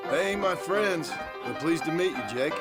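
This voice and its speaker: A man's voice: fairly high pitch, lively intonation, moderate pace, over loud music, close to an everyday voice. A man playing a guarded, dry-spoken gunslinger in a video game. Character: terse, guarded western gunslinger, adult man. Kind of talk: video game western dialogue acting.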